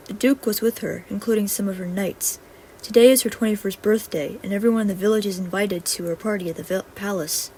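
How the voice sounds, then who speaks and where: A woman's voice: medium pitch, a little fast, warm tone, a little hiss or hum, lightly acted, rough recording. A woman voicing a modest, downhearted young male wizard in an earnest, plain-spoken way. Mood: subdued.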